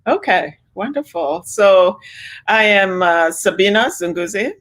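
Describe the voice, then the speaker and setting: A woman's voice: mid-range voice, expressive, unhurried, fairly bright tone. A woman in her sixties, born and raised in Zimbabwe, answering interview questions over a video call with many fillers and restarts. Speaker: interview guest.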